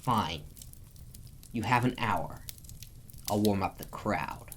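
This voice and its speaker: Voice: sits low, lively intonation, slow pace, exaggerated voice. An actor playing a power-hungry, brash and vengeful villain leader in a radio play, with some noise on the recording. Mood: scornful, angry.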